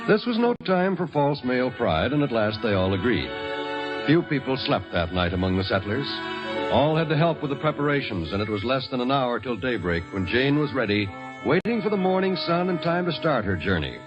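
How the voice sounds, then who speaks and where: A man's voice: mid-range voice, big pitch swings, a little fast, muffled recording. A man giving scripted, dramatic storytelling narration in an old-time radio style. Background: music.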